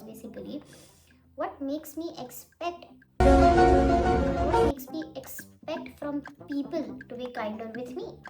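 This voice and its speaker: A young woman, a Hindi speaker, giving blunt, rambling advice in a casual makeup vlog while she does her makeup. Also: high voice. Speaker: beauty vlogger.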